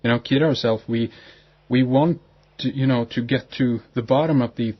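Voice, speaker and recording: A man hosting a radio talk show, halting and agreeable. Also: mid-range voice, breathy edge, highs cut off. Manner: halting, many fillers and restarts, agreeable. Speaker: radio host. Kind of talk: hesitant radio interview chat.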